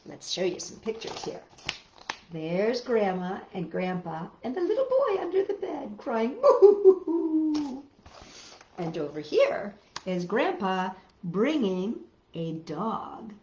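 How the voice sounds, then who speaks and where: Animated, unhurried woman reading stories to children. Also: medium pitch, a little hiss or hum. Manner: simple phrases with dramatic emphasis. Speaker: children's story-time host. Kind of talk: animated read-aloud storytelling for children. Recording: roomy, dull lo-fi home recording.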